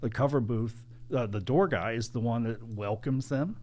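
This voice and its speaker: A man's voice: medium pitch, moderate pace, warm tone, a little hiss or hum. A man who plays piano at a comedy club, chatting casually and explaining things at length in an easygoing way. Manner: easygoing storyteller, long explanations. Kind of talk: casual chat.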